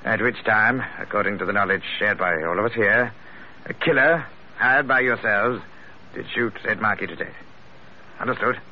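An actor playing a wily, pompous investigator with florid, deliberate speech in a vintage radio drama. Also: male voice, fairly low pitch, close to an everyday voice. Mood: smooth, menacing. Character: wily, pompous British investigator posing as a smooth blackmailer. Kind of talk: 1940s-50s radio drama acting. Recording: muffled, noisy vintage radio recording.

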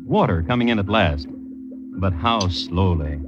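An actor playing a droll, macabre connoisseur of crime, narrating deliberately and wryly as an old-time radio host. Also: male voice, low-pitched, animated, music in the background. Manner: deliberate, wry, understated. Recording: muffled vintage radio recording.

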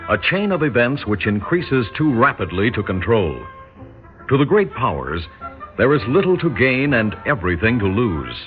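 A man playing a grave, portentous narrator of a world crisis in a classic radio drama. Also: on the low side. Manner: solemn, portentous. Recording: dull old radio recording.

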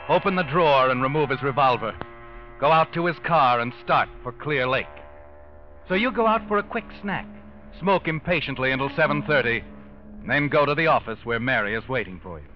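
An American man acting as the ominous, taunting narrator of a 1940s radio crime thriller, telling the story deliberately to the culprit. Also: fairly high pitch, natural voice. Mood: sly, knowing. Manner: taunting, deliberate, suspenseful second-person storytelling. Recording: dull old radio recording, loud music behind. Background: dramatic music.

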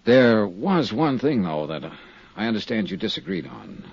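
An actor playing a cool, probing insurance investigator in a 1950s radio drama, asking measured, courteous, insinuating questions. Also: male voice, lowish voice, very animated, gravelly, slightly rough, exaggerated voice. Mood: calm, courteous. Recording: dull, dry 1950s radio recording.

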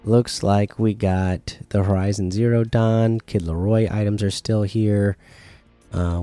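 Man losing his voice, low and mellow. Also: no echo. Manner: reads lists in an even, plain way with frequent uhs. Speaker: podcast host. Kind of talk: casual solo gaming podcast.

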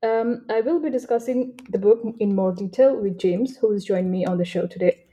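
A woman podcast host with a notably dark, mellow voice. There is a husky grain to it. She delivers prepared material and questions in an interview setting. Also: medium pitch, moderate pace. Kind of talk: scripted introduction read aloud, then interview.

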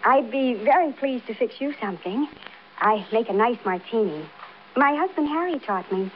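A woman's voice: a little high, expressive, moderate pace, close to an everyday voice. An actress playing a fragile, newly widowed American woman, genteel, hesitant and politely evasive, in old-time radio drama. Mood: brittle politeness. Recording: muffled, noisy vintage radio broadcast.

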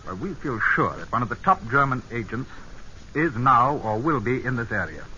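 An urbane British secret agent, persuasive as an old friend, with a very bright, crisp edge to the voice. It comes from a vintage radio play, muffled with faint hiss. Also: male voice, mid-range voice, big pitch swings, normal speed. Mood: earnest, persuasive. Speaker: actor. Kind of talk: old-time radio drama acting.

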